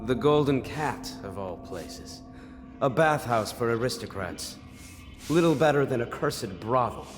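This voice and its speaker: A man playing a solemn, disdainful former cleric turned strategist, in measured video game dialogue. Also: medium pitch, animated, lightly acted. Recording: echoing hall with music.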